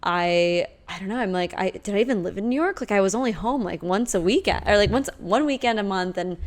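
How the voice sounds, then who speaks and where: A woman's voice: mid-range voice, fairly quick. A young woman from Nebraska, a podcast guest, chatting casually and rambling with lots of fillers. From Omaha, Nebraska, United States. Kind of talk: casual chat.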